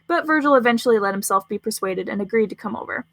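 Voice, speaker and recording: A woman's voice: a little high, expressive, quick pace, creaky, fairly bright tone, dry recording. A woman narrating scripted fan fiction as a storyteller.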